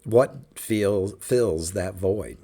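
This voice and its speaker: A man with a raspy, hoarse voice from a sinus cold, hosting a casual church podcast in an easygoing, chatty way. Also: low voice, drawn-out words, mellow. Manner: easygoing, chatty, with many fillers. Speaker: podcast host.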